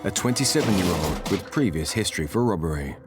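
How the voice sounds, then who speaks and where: A man reading scripted true-crime documentary narration in a measured, dramatic storytelling manner. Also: low voice, expressive, music in the background.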